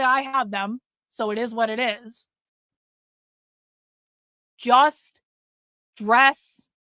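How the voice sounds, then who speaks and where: A woman's voice: high voice, pushed and bright, no echo. A middle-aged woman hosting a livestream, talking bluntly to her chat, swearing freely and repeating herself for emphasis while losing her voice. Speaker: livestream host. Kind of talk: casual livestream talk to chat.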